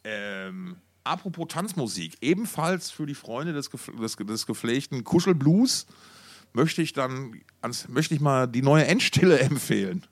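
A man's voice: medium pitch, animated, a little slow. A man co-hosting a podcast in casual, slangy, enthusiastic music talk, often repeating words as he searches for phrasing. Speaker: podcast co-host.

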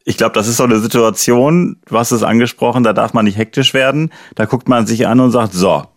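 Man, podcast host, mid-pitched, calm measured questioning, dry close sound. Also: dry recording. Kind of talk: interviewing.

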